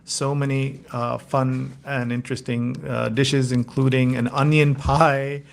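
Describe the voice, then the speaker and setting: A man hosting a casual live show, presenting in an enthusiastic but halting way. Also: mid-range voice, level tone, creaky, a little hiss or hum. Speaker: host.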